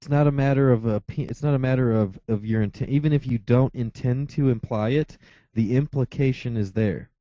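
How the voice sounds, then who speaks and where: A man's voice: mid-range voice, brisk, warm tone, no room sound, highs cut off. A man arguing in a heated debate, insistent, with frequent stammering restarts. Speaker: atheist caller.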